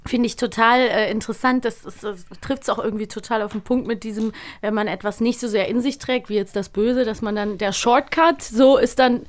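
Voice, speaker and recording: A woman's voice: fairly high pitch, fairly quick, hardly any pauses. A woman chatting informally and reflectively, rephrasing her thoughts as she goes. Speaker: voice actor, interviewer. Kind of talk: casual chat.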